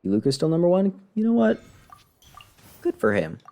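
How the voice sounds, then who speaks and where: A man's voice: sits high, wildly swooping pitch, normal speed, vocal fry, mellow, soft background music. A man doing casual live game commentary, grumbling wryly and talking to himself and the game. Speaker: game streamer. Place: live stream, game music behind the voice.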